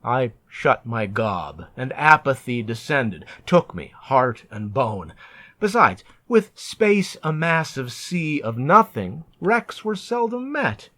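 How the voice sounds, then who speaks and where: A man reciting narrative poetry in a deliberate, measured, theatrical way, as a poet reading his own work. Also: mid-range voice, sing-song, fairly bright tone.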